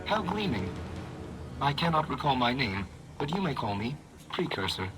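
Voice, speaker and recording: Lilting, courteous clockwork automaton. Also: male voice, medium pitch, very animated, normal speed. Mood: cheerful, earnest. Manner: formal, courteous, quaintly precise. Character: polite, servile ancient male clockwork automaton, quaint and earnest. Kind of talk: video game character dialogue. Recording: game music over the voice. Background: loud game music.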